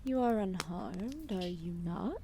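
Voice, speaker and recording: A woman playing a calm, solemn, ancient serpent deity in an ASMR roleplay, speaking softly and formally with deliberate pauses. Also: lowish voice, noisy background. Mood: gentle, affectionate. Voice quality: soft, breathy, often dropping into whispers. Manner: slow, deliberate, formal phrasing with long pauses.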